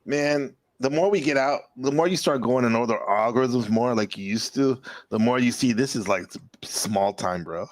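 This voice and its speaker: A man's voice: mid-range voice, moderate pace, hardly any pauses, no echo. A man chatting casually on a live stream, laid-back and rambling, often saying bro and man. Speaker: streamer, teacher. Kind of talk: casual chat.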